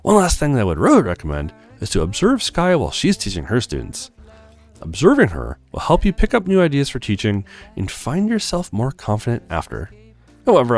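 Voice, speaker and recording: A man voicing a helpful, studious advisor in visual novel dialogue read aloud. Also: sits low. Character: helpful, studious advisor, easily flustered, giving teaching pointers.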